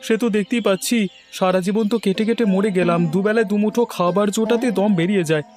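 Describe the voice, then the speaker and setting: A man's voice: high-pitched, fast, a little mellow, faint music behind, natural voice. A man voicing a suspicious, complaining younger village farmhand in a cartoon, speaking emphatically. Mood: grumbling, suspicious. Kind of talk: cartoon voice acting.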